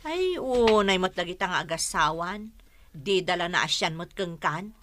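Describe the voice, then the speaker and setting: A woman's voice: on the low side, animated, normal speed, fairly bright tone, no room sound. A woman with an Ilocano accent playing a nosy, outspoken village neighbour in a radio comedy drama. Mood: nosy, scolding.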